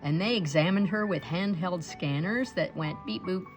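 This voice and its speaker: A woman reading a picture book aloud to children in an expressive storytelling style. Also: on the low side, moderate pace, faint music behind. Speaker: storybook read-aloud reader.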